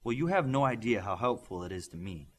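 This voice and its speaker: A man voicing a practical adult female scientist in an audiobook, with a measured, precise, businesslike manner. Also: on the low side, big pitch swings. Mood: grateful, thoughtful.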